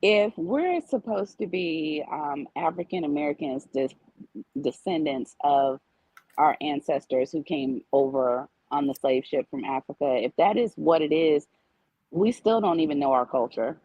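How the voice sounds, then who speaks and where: A woman's voice: sits low, measured pace, dark tone, breathy edge, no echo, poor audio. A woman speaking as a talk show guest, earnestly making her case and repeating her points in casual discussion. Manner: explains at length, repeats points.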